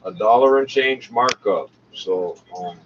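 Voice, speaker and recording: A man's voice: on the low side, unhurried, a bit bright. A man giving blunt, sardonic, informal commentary on a radio broadcast. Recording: muffled, noisy recording, off-mic.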